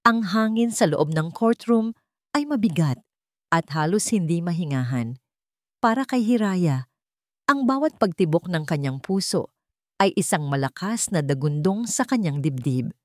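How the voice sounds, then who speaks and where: A woman with a slightly low voice narrates a dramatic story in a dry, close recording. Her pitch swings widely, and she delivers the lines deliberately, in short dramatic phrases. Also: lively intonation, a little mellow, no echo.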